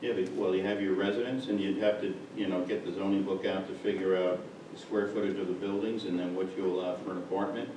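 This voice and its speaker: A man's voice: low voice, steady tone, fast, hardly any pauses. A man on a village board, insistent and rambling as he argues a point at a public meeting. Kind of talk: municipal meeting discussion. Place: meeting room, heard from afar. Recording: echoey room, distant mic, noisy.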